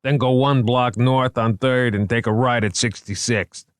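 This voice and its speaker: A man's voice: mid-range voice, steady tone, a little slow, no echo, studio quality, pitched above the performer's own voice, close to an everyday voice. A man voicing a brash, impatient male police lieutenant who talks bluntly, in an audiobook. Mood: impatient, exasperated. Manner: blunt, exasperated.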